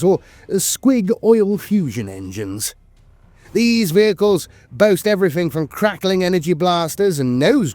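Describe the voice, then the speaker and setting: Higher-voiced man narrating lore with lively intonation. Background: music.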